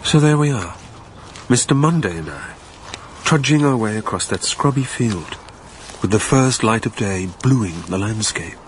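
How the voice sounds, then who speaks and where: A man's voice: fairly low pitch, lively intonation, measured pace, a little hiss or hum. An actor playing a reflective businessman who narrates his own tale in a scripted radio drama. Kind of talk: scripted first-person narration.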